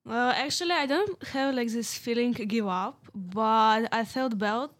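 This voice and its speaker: A young woman speaking English as a non-native speaker in a casual chat, halting and searching for words, with frequent giggles. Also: fairly high pitch. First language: not English. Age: young adult.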